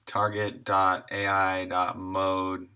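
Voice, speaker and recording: A man streams his game development, talking casually and thinking out loud. His pace is very unhurried, with gaps as he works through code. The voice sits a little low yet sounds bright and crisp-edged. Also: monotone. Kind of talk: casual chat while coding, thinking aloud.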